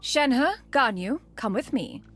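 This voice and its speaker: A woman's voice: a little high, animated, slow, heavy background noise. A woman voicing an ancient, aloof female crane spirit who speaks formally and calls herself "one", in a video game. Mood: poised. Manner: archaic formal speech, refers to herself as "one".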